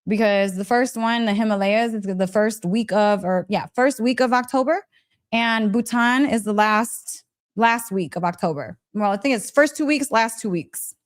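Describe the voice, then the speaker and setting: A woman with a fairly high voice talks as a spiritual teacher on a casual live stream. She is gushing and emphatic, often repeating phrases for weight. She speaks straight to her listeners, checking in with little questions as she goes. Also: moderate pace. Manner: chatty, direct address with frequent check-in questions. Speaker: host of a live story session, spiritual teacher.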